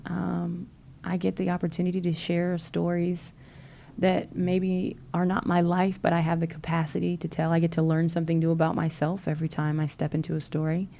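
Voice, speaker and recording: A woman's voice: on the low side, completely flat delivery, brisk, creaky, mellow, slight background noise. A woman from Louisiana with a Southern accent, a podcast guest talking earnestly and encouragingly, with many fillers. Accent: Southern American. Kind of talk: casual interview chat.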